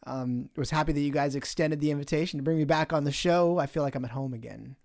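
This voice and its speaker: Man, middle-aged, podcast co-host, slightly higher voice, dry close mic. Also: fast talker, vocal fry, dry recording. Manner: relaxed, friendly, filler-heavy. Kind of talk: casual podcast chat. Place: small podcast studio.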